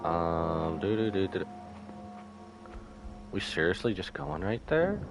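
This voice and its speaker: Animated low-voiced male game streamer. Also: unhurried, music in the background. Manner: slangy, irreverent, talking back to the game. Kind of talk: casual live reaction commentary.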